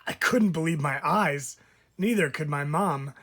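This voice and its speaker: A man, a teacher, reading a children's picture book aloud with lively, expressive storytelling. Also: fairly high pitch, measured pace.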